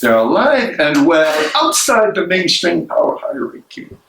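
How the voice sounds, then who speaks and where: An imprisoned theologian played by a man, devout and defiant, declaimed as stage drama. The delivery is very slow and impassioned, in a fairly high register. The sound is roomy, picked up away from the mic. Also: sing-song, fairly bright tone, heavily acted. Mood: anguished, defiant. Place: library room, live reading. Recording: echoey room, distant mic, noisy.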